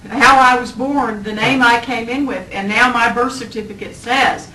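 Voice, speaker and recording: A woman speaks earnestly in casual talk with a small group. Her bright voice sounds off-mic in an echoey room, with faint tape hiss. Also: a little high, steady tone, normal speed, distant mic. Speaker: group participant. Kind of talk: casual conversation.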